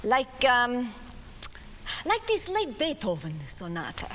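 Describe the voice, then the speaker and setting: A woman's voice: fairly high pitch, wildly swooping pitch, slow, a bit bright, slight background noise, heavily acted. A woman playing a wistful famous pianist. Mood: reminiscing. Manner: reminiscing, chatty, light laughs. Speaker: stage performer. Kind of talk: one-woman theatrical monologue between piano pieces. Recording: reverberant hall with faint ambience.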